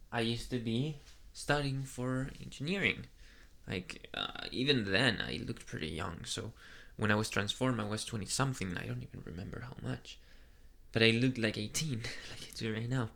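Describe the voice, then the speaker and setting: Guy doing a shy flustered young vampire boyfriend, asmr roleplay, talks real slow and halting, lots of vocal fry. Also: medium pitch, pushed and bright, full character voice. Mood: hesitant, reflective. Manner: hesitant, halting, earnest, murmuring. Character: soft, flustered, earnest young male vampire on a date. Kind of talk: ASMR boyfriend roleplay, soft intimate monologue.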